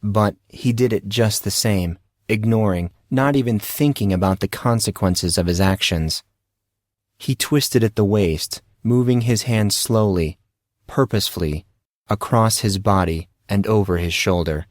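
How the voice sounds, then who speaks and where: A man reading a scripted dramatic story as an audiobook narrator, in a measured, solemn way. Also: lowish voice, no echo, clean studio sound.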